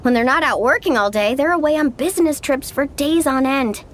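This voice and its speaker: A woman's voice: high voice, brisk, a little hiss or hum, close to an everyday voice. A woman voicing a bubbly, big-hearted, party-loving young girl in an anime-style game, breezy and playfully bossy. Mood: sincere. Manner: breezy, playful, take-charge.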